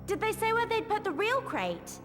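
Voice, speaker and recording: A woman's voice: extremely high pitch, huge pitch swings, normal speed, fairly bright tone, heavily acted. An actress playing an earnest, bossy schoolgirl witch in a video game, decisive. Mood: brisk, concerned. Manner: decisive, matter-of-fact. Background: music.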